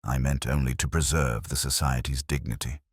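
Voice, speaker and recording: A man's voice: rumbling low, steady tone, moderate pace, breathy edge, dry recording, clean studio sound, pitched below the performer's own voice. A man voicing a prim, self-important committee woman in a mystery story, with a pompous, formal and stiffly dignified manner. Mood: stiffly conceding.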